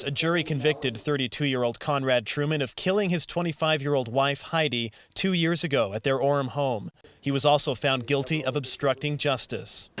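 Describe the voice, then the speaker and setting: Male TV news reporter with faint background noise. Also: medium pitch.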